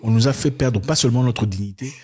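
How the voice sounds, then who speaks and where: A man in impassioned conversation, arguing his points and mimicking other people in sudden high-pitched bursts. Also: quick pace, darkish tone, breathy edge.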